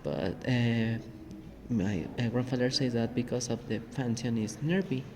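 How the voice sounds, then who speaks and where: A young Mexican man speaks English with a Spanish accent and a middling pitch, filling his sentences with halting "uh" pauses as he tells a tale. The recording is thick with steady background noise. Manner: halting, many "uh" fillers. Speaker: podcast co-host. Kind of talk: casual storytelling chat.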